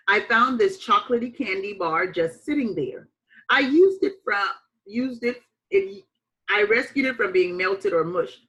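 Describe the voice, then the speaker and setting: A woman reading a children's picture book aloud like a teacher, with expressive, emphatic phrasing and deliberate dramatic pauses. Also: medium pitch, low-quality recording.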